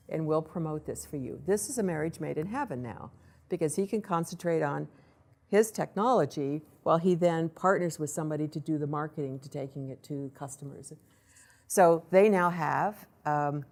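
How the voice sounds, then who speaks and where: Woman, deep voice, conference speaker, lively storytelling, acts out quotes. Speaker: accelerator program director giving a presentation. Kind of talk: conference presentation with a case study.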